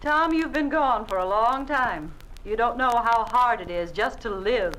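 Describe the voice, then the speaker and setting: A woman's voice: on the high side, unhurried, a bit bright, slight background noise, low-quality recording. A woman playing a tired, placating wife and mother in a 1950s radio drama. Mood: resigned. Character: weary, resigned mother of a family. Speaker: housewife and mother.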